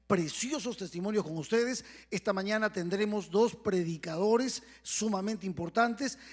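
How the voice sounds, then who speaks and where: A male pastor co-hosting a live online broadcast, speaking in an earnest, promotional way. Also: high-pitched. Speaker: co-host, pastor.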